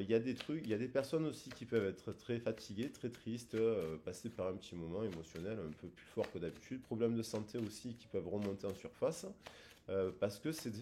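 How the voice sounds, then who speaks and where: Hesitant, mellow-voiced man reading tarot. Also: medium pitch. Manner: rambling, hesitant, many fillers and restarts. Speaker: tarot reader. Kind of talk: casual spoken tarot reading.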